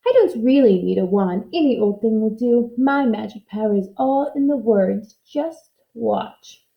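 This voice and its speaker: A woman's voice: expressive, unhurried, gravelly, reverberant, lo-fi sound. A woman voicing a gleeful, boastful little old witch from a storybook, screechy and theatrical. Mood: coaxing, boastful. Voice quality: high, screechy. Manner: theatrical, chanting nonsense rhymes. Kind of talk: storybook character voice.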